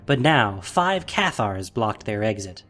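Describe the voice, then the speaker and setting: A man narrating a scripted audio drama in an expressive, theatrical manner. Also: on the low side, a little slow, faint music behind.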